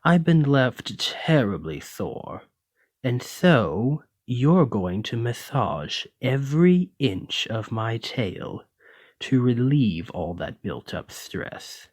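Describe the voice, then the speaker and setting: A man's voice: medium pitch, sing-song, slow pace, a little mellow, no echo, studio quality. A man playing a haughty, teasing male lamia noble in an ASMR roleplay, with a pompous, refined, drawling and condescending manner. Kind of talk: ASMR roleplay, scripted monologue to listener.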